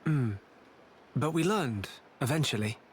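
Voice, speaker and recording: A man's voice: medium pitch, animated, unhurried, slight background noise, lightly acted. A man playing a thoughtful young hero in a video game, speaking sincerely and reflectively. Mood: reflective, determined. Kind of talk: dramatic video game voice acting.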